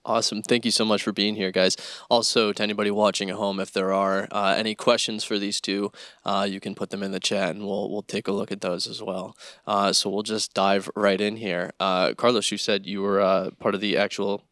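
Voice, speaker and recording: A man's voice: on the low side, little pitch movement, a little fast, a bit bright, no room sound, studio quality. A man hosting a student discussion round, asking questions in a friendly, encouraging way with fillers. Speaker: host.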